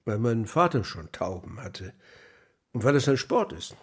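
Taubenzüchter mit heiserer Stimme. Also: male voice, mid-range voice, sing-song, measured pace, husky. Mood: calm, wistful. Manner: plain, explanatory. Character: reflective male pigeon keeper explaining his birds. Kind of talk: children's radio play acting.

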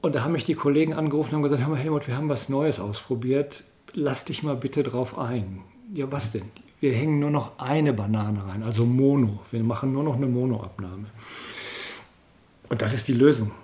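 A man's voice: mid-range voice, fairly quick, dark tone. A man from Dortmund speaking casually and colloquially as a sound engineer explaining his work in an interview. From Dortmund, Germany. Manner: colloquial, acts out quoted dialogue. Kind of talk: casual expert chat.

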